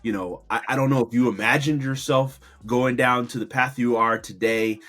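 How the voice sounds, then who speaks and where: A man's voice: mid-range voice, fairly even intonation, moderate pace, fairly bright tone. A man hosting an interview show, introducing and thanking his guest in an effusive, admiring way. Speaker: podcast host.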